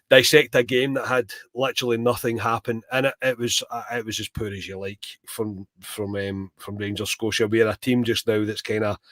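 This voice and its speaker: A man hosting a podcast in casual chat, a bit croaky from a cold, calling his co-host mate. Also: on the low side, no echo.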